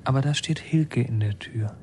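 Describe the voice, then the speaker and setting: Radio play narrator, male actor as policeman's watchful son, plain measured storytelling, mid-pitched. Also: a little mellow, slight background noise, close to an everyday voice. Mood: observant, measured. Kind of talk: scripted radio drama narration, present tense.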